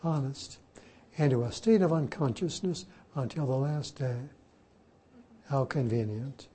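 A man's voice: mid-range voice, unhurried, dark tone, a little hiss or hum. An older man, a Jesuit priest whose first language was Slovak, teaching a class informally and spelling words out letter by letter. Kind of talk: informal lecture and Q&A.

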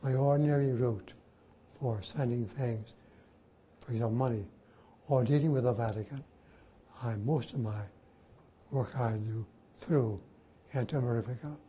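A man's voice: mid-range voice, normal speed, long pauses, mellow, a little hiss or hum. An older man, a Jesuit priest whose first language was Slovak, teaching a class informally and spelling words out letter by letter. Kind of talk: informal lecture and Q&A.

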